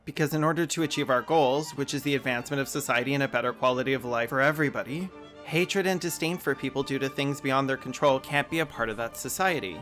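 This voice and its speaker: A man's voice: medium pitch, fairly bright tone. A man hosting a video essay, mixing sarcastic, mocking asides with plain argument.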